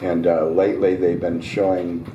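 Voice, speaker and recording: A man hosting a live reading, hoarse, telling his own short story in a relaxed, conversational way with small asides. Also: deep voice, normal speed, dull audio, background noise. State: hoarse, voice getting raspy. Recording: roomy, distant restaurant recording.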